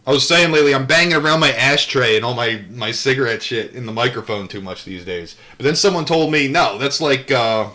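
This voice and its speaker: A man's voice: mid-range voice, fairly quick, few pauses, bright, forceful tone. A man hosting a live read-aloud, moving between reading a book and casual, irreverent, rambling asides. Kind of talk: audiobook-style reading with casual off-the-cuff asides.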